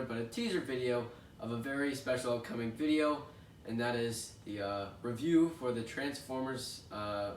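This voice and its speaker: A young man with a stuffy, congested voice talks casually while opening a toy box, wandering off track and filling gaps with ums and uhs. He sounds some distance from the mic in a roomy space, with steady background noise underneath. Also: mid-range voice. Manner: rambling and chatty with frequent fillers. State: congested from allergies. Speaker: toy unboxing host. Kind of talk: casual unboxing chat. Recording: echoey room, distant mic, noisy background.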